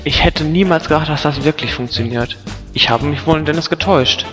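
A man's voice: fairly high pitch, a little fast, over music, close to an everyday voice. A man playing an earnest adventurer in an amateur audio drama parodying video games. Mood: sceptical.

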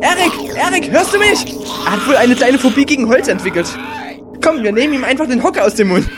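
A man playing an excitable, cheeky young hero in an amateur German audio drama. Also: very high voice, fairly quick, over music. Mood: urgent. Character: excitable cheeky young hero bantering with his friends in battle.

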